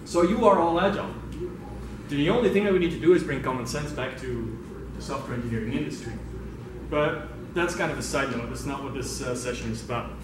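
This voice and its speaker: A man from Belgium presents a workshop in an informal, conversational way. He is recorded far from the microphone in a very reverberant room. Clear background noise runs under his speech. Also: medium pitch, a little fast. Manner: conversational, engages the audience with questions. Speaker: workshop facilitator, speaker. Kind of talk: informal talk to a meetup audience. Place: indoor meetup room.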